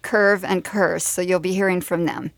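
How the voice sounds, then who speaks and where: A woman's voice: on the low side, steady tone, moderate pace, dry recording. A woman hosting a radio show from a studio, introducing segments and interviewing guests.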